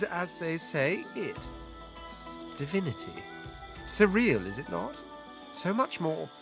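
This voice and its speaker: A man playing a proud, eloquent imperial adventurer in a video game, speaking in ornate, measured, theatrical phrases with deliberate pauses. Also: medium pitch, a bit bright. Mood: wry awe. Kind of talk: dramatic video game character acting.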